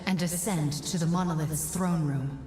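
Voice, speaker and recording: Woman playing a low-voiced solemn guide, echoing. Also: natural voice. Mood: solemn warning. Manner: grave, earnest, measured. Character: solemn female guide and ally to a warrior. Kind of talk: dramatic video game acting. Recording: echoing voice over game music.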